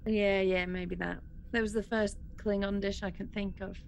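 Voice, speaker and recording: A woman's voice: medium pitch, flat delivery, normal speed. A woman playing a tabletop game, chatting casually about her moves in a playful, wry way. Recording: clear background noise.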